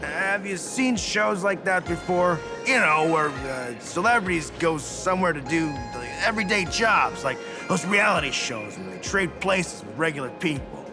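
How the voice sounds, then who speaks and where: An actor voicing a polite, easygoing official in a video game, explaining things in a hesitant, patient way. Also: male voice, on the high side, lively intonation, moderate pace, hardly any pauses, natural voice. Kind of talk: video game character acting. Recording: game music behind.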